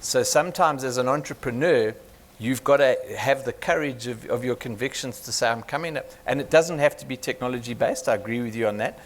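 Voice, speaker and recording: A man's voice: mid-range voice, a little fast. A man on a panel answering an audience member in a direct, conversational way.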